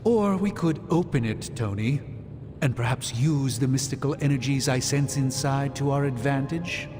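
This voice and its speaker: An actor playing a learned, middle-aged sorcerer superhero in a video game, speaking in a formal, theatrical and grave way. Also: male voice, mid-range voice, normal speed, a little mellow, natural voice. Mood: grave, measured. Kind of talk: video game cutscene acting. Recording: long hall reverb under music.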